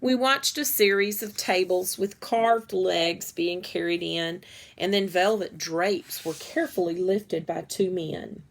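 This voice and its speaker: A woman reading a children's story aloud in a measured, deliberate storytelling way. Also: on the low side, gravelly. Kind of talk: read-aloud story narration.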